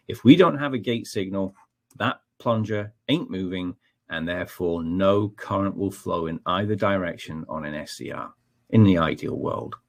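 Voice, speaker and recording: Scottish male trainer, deep and husky, relaxed explainer with plenty of ums. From Scotland. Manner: explanatory, frequent ums and checking okay. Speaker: technical trainer. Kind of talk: technical webinar presentation.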